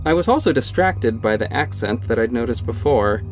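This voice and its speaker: A man's voice: medium pitch, expressive, moderate pace, faint music behind, lightly acted. Sardonic young PI and narrator, a man's own voice, plain dry delivery. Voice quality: dry, sarcastic. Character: sardonic young male private investigator and vigilante. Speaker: first-person narrator. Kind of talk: scripted audio drama narration.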